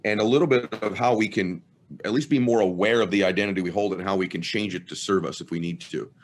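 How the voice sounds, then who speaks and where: A man's voice: fairly low pitch, fast. Reflective man hosting an interview. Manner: affirming, reflective, rambling. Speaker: podcast host. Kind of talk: conversational interview.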